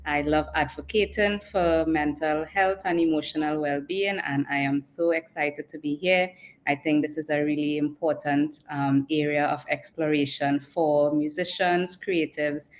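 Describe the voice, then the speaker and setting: A woman's voice: deep voice, steady tone, normal speed. A woman speaking as a psychologist panelist, explaining things.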